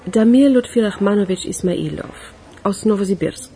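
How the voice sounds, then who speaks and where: A woman's voice: mid-range voice, moderate pace, warm tone, natural voice. A woman playing a curt, unimpressed police employee questioned as a witness in a German radio crime drama, dryly questioning back. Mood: dry defiance.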